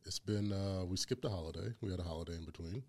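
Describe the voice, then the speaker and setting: A man with a deep baritone voice hosting a casual barbecue podcast, joking and laughing through the banter. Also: fast talker, darkish tone. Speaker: podcast host.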